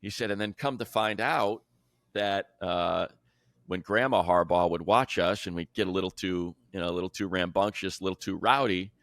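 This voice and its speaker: A man's voice: deep voice, moderate pace, fairly bright tone, dry recording, studio quality. A man hosting a football show, telling stories in a casual, enthusiastic, colloquial way. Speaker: sports show host and football play-by-play announcer. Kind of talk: casual sports talk, animated storytelling.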